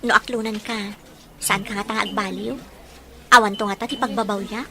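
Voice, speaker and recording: A woman acting a gentle young woman in love in a radio drama, with a coy, teasing delivery. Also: medium pitch, level tone, normal speed, background noise, close to an everyday voice. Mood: earnest, tender.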